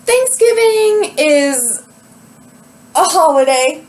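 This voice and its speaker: A woman's voice: very high voice, lively intonation, very slow, a little mellow. A young woman co-hosting a casual chat show, giggly and rambling, with lots of fillers. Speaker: podcast co-host.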